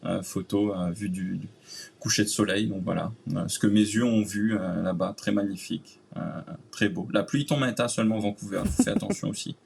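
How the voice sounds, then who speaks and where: A man's voice: on the low side, fairly quick, creaky, darkish tone, husky. A man from Réunion with a Réunionese accent, chatting casually as a guest and filling his sentences with hesitant fillers. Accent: Réunionese French. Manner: hesitant, many "euh" fillers, creole-tinged phrasing. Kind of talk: casual interview chat.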